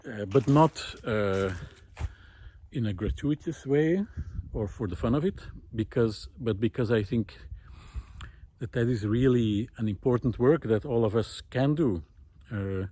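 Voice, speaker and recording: A man with a mid-pitched voice shares his reflections aloud as he walks outdoors. His delivery is unscripted and thoughtful. He hesitates often, pausing to find the right words before carrying on. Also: mellow. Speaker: host of a walking audio diary, former teacher. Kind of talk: unscripted reflective spoken monologue recorded on a walk. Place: outdoors, walking.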